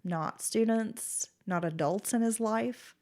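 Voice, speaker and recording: A woman's voice: a little high, slow, a little mellow. Stuffy-nosed woman podcast host. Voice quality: nasal. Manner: reads case details, then chats and laughs. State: stuffy nose from a cold. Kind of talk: casual true-crime podcast chat.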